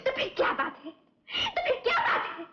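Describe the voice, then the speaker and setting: An actress playing a distraught young sister in an old Hindi film melodrama, pleading and defiant with theatrical emotion. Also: female voice, very high voice, expressive, brisk, fairly bright tone. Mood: pleading, desperate. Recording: dull, lo-fi old film soundtrack, hissy.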